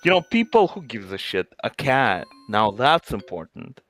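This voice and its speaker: A man's voice: mid-range voice, very animated, moderate pace, fairly bright tone, soft background music. A man co-hosting a game playthrough, chatting casually with wry, joking asides and free swearing. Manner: wry, riffing, swears freely. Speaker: co-host commenting on a game playthrough.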